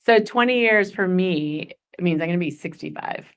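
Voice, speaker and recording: A woman's voice: fairly low pitch, moderate pace. A woman in her forties leading a goal-setting workshop, chatty and upbeat, laughing often. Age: 40s. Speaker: workshop facilitator.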